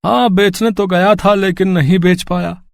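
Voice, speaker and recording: Man voicing a high-pitched, earnest poor rickshaw puller. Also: steady tone, moderate pace, gravelly, no echo, clean studio sound. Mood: gentle. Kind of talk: Hindi moral-story cartoon dialogue.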